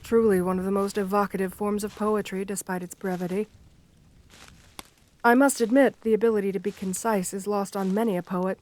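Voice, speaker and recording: A woman's voice: medium pitch, steady tone, a little fast, darkish tone, lightly acted, low-quality recording. A woman voicing a shy, lonely, romantic gargoyle in an ASMR fantasy roleplay, speaking with formal, polite diction and bashful hesitations. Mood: wistful. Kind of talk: ASMR fantasy roleplay, intimate one-sided dialogue.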